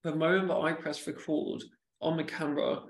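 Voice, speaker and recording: A man chatting casually on a podcast, speaking in a halting way with repeated words from a stammer. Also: a little high, fairly even intonation, roomy sound.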